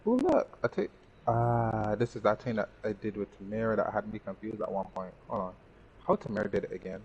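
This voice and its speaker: A man with a fairly low voice plays and comments casually, addressing himself and the characters as he goes. His mic sounds a little dull, with faint noise behind him. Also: expressive, fairly quick, a little mellow. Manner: talks to himself and the game characters. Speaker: gaming streamer. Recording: band-limited mic with faint background noise.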